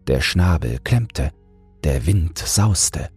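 A man narrating a bedtime fairy tale in a gentle, measured, soothing manner. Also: low voice, animated, husky, soft background music. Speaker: audiobook narrator and podcast host.

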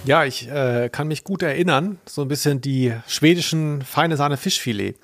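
A man's voice: on the high side, normal speed, faint music behind. A man co-hosting a pop-culture podcast, talking casually in a hesitant, searching and amused way. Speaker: podcast co-host.

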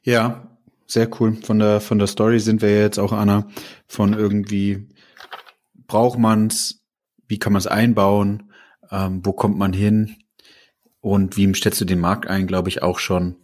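A man's voice: on the low side, flat delivery, measured pace, a little mellow. A man hosting a podcast interview, asking loose questions and often rephrasing mid-sentence. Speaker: podcast host.

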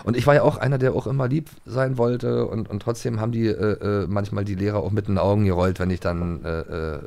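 A middle-aged man with a very low, gravelly voice chats casually as a podcast host. He hesitates often, circling back with filler sounds, and his deep voice has a steady crackle of fry. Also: fast. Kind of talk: casual chat about radio plays.

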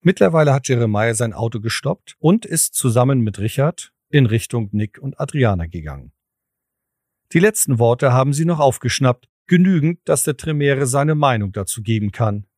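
Männlicher Hörspielerzähler, trockener Klang. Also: male voice, mid-range voice, animated, normal speed, a little mellow, no echo, studio quality. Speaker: narrator. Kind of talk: scripted audio drama narration.

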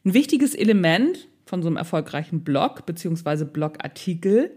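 A woman's voice: lowish voice, very animated, normal speed, a little mellow. A woman with a Northern German accent giving a casual blogging tutorial, chatty, playful and colloquial as she speaks to the viewer.

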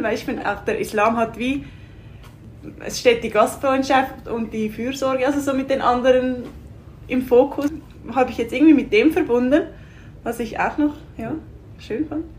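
Young woman guest, fairly high voice, easygoing and reflective. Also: normal speed, slight background noise. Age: 20s. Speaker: guest, youth worker. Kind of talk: casual interview.

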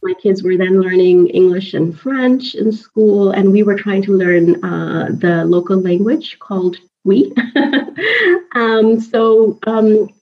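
A woman from Pakistan in her thirties, a native Urdu speaker, telling personal stories in an animated, chatty way as an interview guest. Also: mid-range voice, takes it slowly. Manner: animated, chatty, laughs often. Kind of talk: casual personal storytelling in conversation. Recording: dull lo-fi audio.

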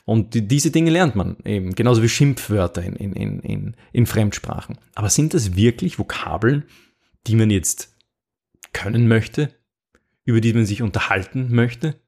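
Austrian guy hosting a podcast, kinda gravelly, lowish voice, talks loose with lots of ums and restarts. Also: expressive, moderate pace, darkish tone. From Upper Austria. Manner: chatty, frequent fillers and restarts, rhetorical "ja?". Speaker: podcast host. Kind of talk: casual podcast talk.

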